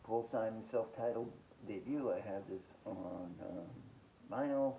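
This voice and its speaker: A man hosting a casual, rambling music collection review, listing titles haltingly and giving blunt, short verdicts. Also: on the low side, dull audio, very noisy. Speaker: host of a music collecting podcast.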